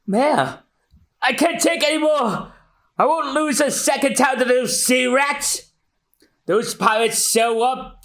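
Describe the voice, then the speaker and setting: A man's voice: very high-pitched, a bit bright, voice pushed up, heavily acted. A man voicing a proud, determined elderly mayor, earnestly declaiming as he reads a comic aloud.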